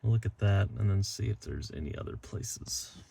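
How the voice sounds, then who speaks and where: A man's voice: sits low, expressive, normal speed, gravelly, dark tone, husky. A man giving a casual, unscripted how-to as a DIY vlogger, thinking aloud as he goes. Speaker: DIY vlogger installing a car radio.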